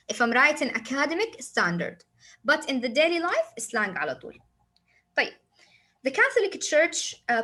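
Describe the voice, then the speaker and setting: A woman's voice: fairly high pitch, fairly bright tone. A woman with a Saudi Arabic accent, a native Arabic speaker, explaining a lesson to her students and often asking rhetorical questions. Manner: repeats phrases, asks rhetorical questions, switches to Arabic. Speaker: teacher.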